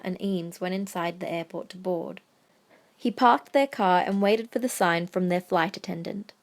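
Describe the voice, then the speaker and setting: Woman narrating a story, medium pitch.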